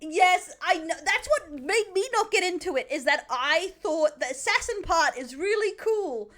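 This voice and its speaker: A woman's voice: very high-pitched, expressive, normal speed, fairly bright tone. An Australian woman chatting casually on a livestream, giggly, blunt and crude in her joking. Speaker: streamer reacting to a video.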